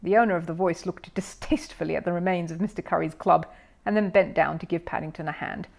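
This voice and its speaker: A woman narrator reading a children's story aloud in an expressive style. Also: lowish voice, fast, creaky. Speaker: audiobook narrator.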